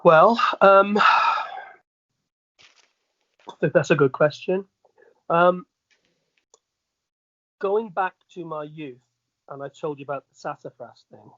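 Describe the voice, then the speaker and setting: A man's voice: on the high side, takes it slowly, long pauses, fairly bright tone, no echo, muffled recording. A middle-aged Welsh man chatting casually as an interview guest, telling anecdotes and checking that the listener follows. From Wales.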